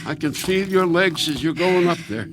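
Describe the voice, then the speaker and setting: Man acting a fretful, high-voiced old husband. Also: moderate pace, noisy background, lightly acted. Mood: fussing, cautioning. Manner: anxious fussing, stammers and repeats words. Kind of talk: radio drama acting.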